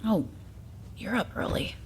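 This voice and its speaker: A woman's voice: a little slow, a little hiss or hum, close to an everyday voice. A woman playing a troubled, idealistic young woman in an audio drama, guarded, weary and prickly. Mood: subdued, thoughtful.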